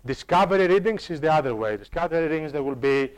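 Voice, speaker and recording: A man's voice: on the high side, animated, fast talker, clear, resonant tone. A man presenting on online course design in a live workshop. He often restarts and repeats words as he explains, and he checks in with the audience through questions. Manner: addresses the audience directly with questions. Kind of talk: live workshop talk to staff.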